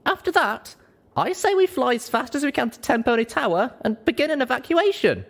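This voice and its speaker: A man voicing an earnest, anxious and determined young female pony heroine in an expressive story reading. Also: extremely high pitch, normal speed, vocal fry, pitched above the performer's own voice, full character voice. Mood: urgent, determined. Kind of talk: expressive audiobook character reading.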